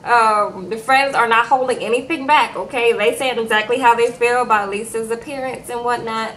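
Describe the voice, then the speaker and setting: A young woman recapping a reality show in casual, animated chat, often tagging her lines with "okay?". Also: a little high, normal speed, slight background noise, low-quality recording.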